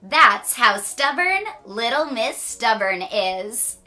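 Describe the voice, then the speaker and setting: A woman reading a children's story aloud with playful asides and dramatic, drawn-out emphasis. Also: on the high side, lively intonation, pushed and bright, soft background music. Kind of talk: animated read-aloud storytelling.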